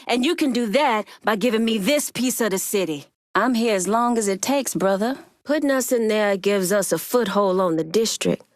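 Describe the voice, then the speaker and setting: An actress plays a proud, hard-edged woman crime boss from a video game. She talks bluntly and deliberately, with streetwise authority and a profane tongue. Her pitch sits in the middle for a woman, and the recording is close and dry. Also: no echo, natural voice. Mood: resolute, measured. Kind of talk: dramatic video game character acting.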